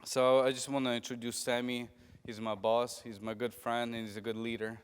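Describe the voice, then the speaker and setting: A man's voice: medium pitch, flat delivery, moderate pace, clean tone. A man encouraging a church youth group and introducing a guest speaker, with fillers and restarts. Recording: long church hall reverb.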